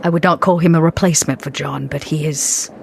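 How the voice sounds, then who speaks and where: A woman's voice: deep voice, fairly even intonation, fairly quick, gravelly, a little hiss or hum. A woman playing a cold, regal, century-old vampire with a clipped, formal and imperious manner in a tabletop game. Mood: cool, controlled.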